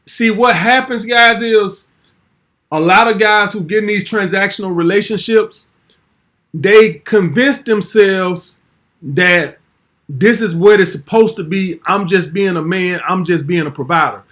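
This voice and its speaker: Host in his 40s, high pitch, brash and profane, casual commentary. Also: a little slow, a bit bright. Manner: blunt, brash, swears freely.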